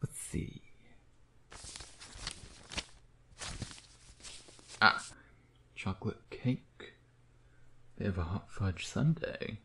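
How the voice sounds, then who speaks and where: A man playing a smug, flirtatious vampire who dotes on his prey in an intimate ASMR roleplay, teasing and murmuring with breathy asides. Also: fairly low pitch, lively intonation, takes it slowly, pushed and bright, a little hiss or hum, full character voice. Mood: playful, dry.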